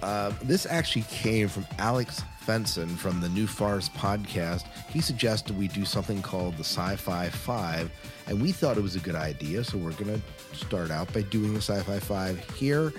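A man's voice: lowish voice, animated, moderate pace. A man hosting a podcast in a casual, chatty, wordy way, explaining things informally. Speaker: podcast host. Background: loud music bed.

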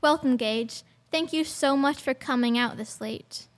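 A woman's voice: high-pitched, measured pace, a little hiss or hum. A young girl playing a polite, secretly sinister mansion hostess in an amateur radio play. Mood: stressed, defensive. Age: child or teenager.

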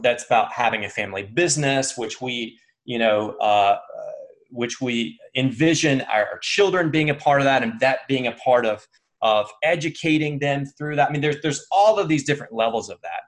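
Earnest man sharing his story. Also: mid-range voice. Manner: earnest, halting, many restarts and fillers. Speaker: interview guest. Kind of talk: reflective conversational interview.

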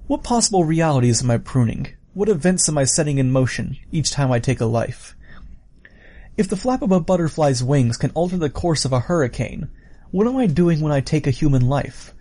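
A man's voice: a little high, expressive, brisk, mellow. A man voicing a sadistic, playful villain in an audiobook story, musing and taunting in a theatrical way. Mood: musing menace. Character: sadistic, playful adult male killer, theatrically menacing villain.

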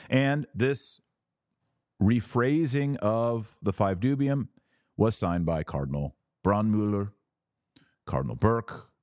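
A man's voice: low-pitched, darkish tone, no room sound, clean studio sound. A man hosting a religious commentary podcast, explaining things emphatically and didactically, often posing questions and answering them himself. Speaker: podcast host.

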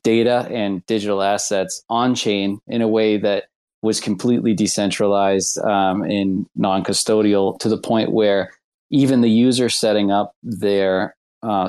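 A man's voice: on the low side, darkish tone. A man from the United States, a product lead speaking on a panel in an earnest, easygoing way. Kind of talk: casual panel chat.

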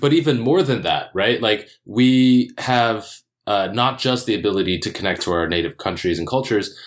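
Man, deep voice, earnest, hesitant delivery, casual discussion. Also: normal speed.

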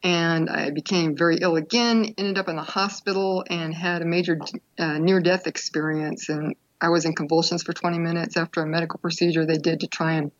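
An older woman who is a podcast guest, telling her own story in a reflective, unhurried way. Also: on the low side, steady tone, muffled recording. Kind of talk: interview, personal storytelling.

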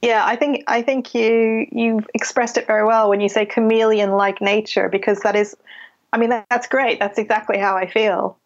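A woman's voice: fairly high pitch, flat delivery, moderate pace. A middle-aged woman writer with a mixed British and Canadian accent, talking thoughtfully in an interview, often restarting her sentences. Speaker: writer guest. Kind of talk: interview conversation. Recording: dull lo-fi audio.

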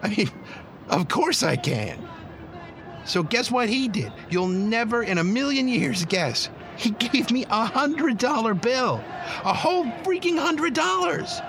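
A man's voice: very high-pitched, sing-song, a little slow, background noise, exaggerated voice. A male actor playing a chatty, proud, idealistic eleven-year-old boy activist in an audio drama, talking informally. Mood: chatty, excited. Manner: chatty, informal, youthful filler-laden talk.

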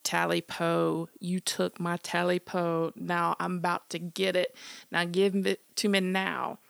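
A woman voicing a short, stubby clawed creature that chants its demands in a low, gravelly tone. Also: completely flat delivery, unhurried, fairly bright tone, no echo, heavily acted. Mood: menacing.

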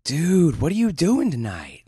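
A man voicing an excitable male office worker who talks in loud, eager surfer slang. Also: a little high, a little slow, noisy background, voice pushed up.

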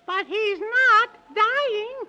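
A woman's voice: extremely slow, highs cut off, full character voice. A woman playing a wicked, gloating old witch who cackles and rhymes in a 1930s radio drama, on an old scratchy recording. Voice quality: shrill, sharp cackle.

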